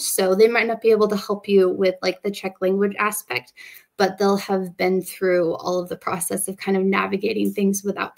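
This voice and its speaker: A woman's voice: mid-range voice, gravelly. A young woman in her twenties, a master's student, casually presenting her study program on a video call with lots of fillers and "like". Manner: relaxed, frequent fillers and "like". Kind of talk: casual presentation at an online open day.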